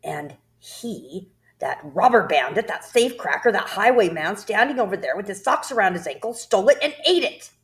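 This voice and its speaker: A woman voicing a tyrannical headmistress who barks insults and shouts at a pupil in a storybook reading. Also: mid-range voice, lively intonation, moderate pace, hardly any pauses, pitched above the performer's own voice, lightly acted. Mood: furious. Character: bellowing tyrannical female headmistress, bullying.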